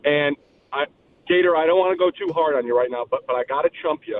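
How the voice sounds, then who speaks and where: A brash, trash-talking male caller on a tinny phone line with faint hiss behind him. His voice swoops up and down and carries a gravelly edge. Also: on the high side, animated, fast. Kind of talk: sports radio call-in.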